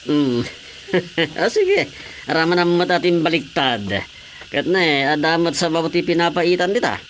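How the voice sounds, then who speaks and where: A man's voice: fairly high pitch, lively intonation, moderate pace, fairly bright tone, a little hiss or hum. A man playing a jovial, boastful village husband in a radio comedy drama. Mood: jolly. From Philippines.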